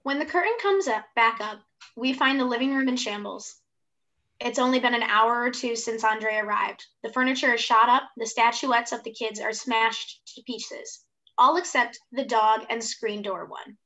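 Bright-voiced young woman reading stage directions. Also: a little high, moderate pace, low-quality recording. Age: 20s. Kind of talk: scripted narration of a play. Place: room at home, indoors. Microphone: video call.

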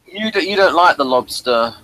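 A man's voice: a little high, animated, normal speed, bright, forceful tone, no room sound, rough recording. A man hosting a casual episode review chat, talking in a hesitant, rambling way and addressing listeners. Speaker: host of a TV review discussion.

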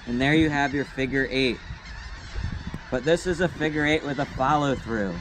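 A young man, a sailing instructor, calmly walking through tying a knot step by step, recorded outdoors on a boat deck. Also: medium pitch, moderate pace, background noise. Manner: patient, step-by-step, plain-spoken. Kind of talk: hands-on knot-tying demonstration.

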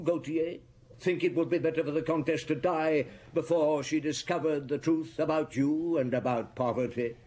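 An actor playing a shrewd, methodical chief inspector in a radio drama, probing and deliberate with a husky voice. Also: male voice, fairly high pitch, a little mellow, a little hiss or hum, lightly acted. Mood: accusing, sardonic. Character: shrewd, methodical male police chief inspector laying out accusations. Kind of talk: BBC radio drama acting.

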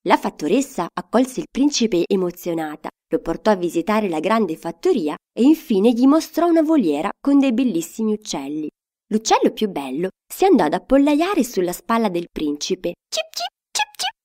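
A woman's voice: mid-range voice, very animated, normal speed, a little mellow. A woman telling a fairy tale to children, reading a scripted story expressively as narrator.

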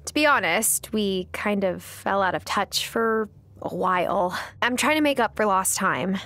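A woman's voice: mid-range voice, lively intonation, unhurried, a bit bright. An actress playing a friendly young woman new in town, hesitant and earnest, in a video game. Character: friendly young woman newly moved to a rural island.

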